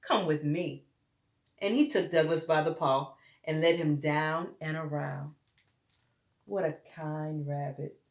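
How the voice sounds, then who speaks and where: A woman's voice: low voice, fairly even intonation, a little slow. A woman reading a story aloud to young children, expressively and with dramatic emphasis, addressing them as friends. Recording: roomy echo.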